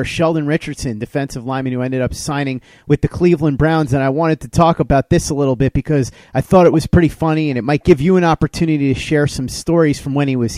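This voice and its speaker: Male sports podcast host, fairly high-pitched, interview style, very dry recording. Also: darkish tone, no echo.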